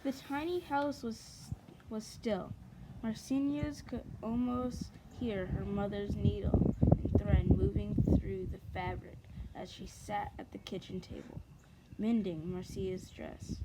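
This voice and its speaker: Female reader, mid-pitched, halting storybook delivery with repeated words, clear background noise. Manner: hesitant, repeats and restarts words while reading. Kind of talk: halting storybook read-aloud.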